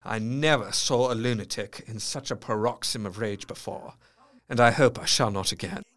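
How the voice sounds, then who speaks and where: A man's voice: lowish voice, moderate pace, fairly bright tone, lightly acted. An actor playing a grave, melancholy Victorian asylum doctor, narrating his diary precisely and earnestly in a gothic audio drama. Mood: grim.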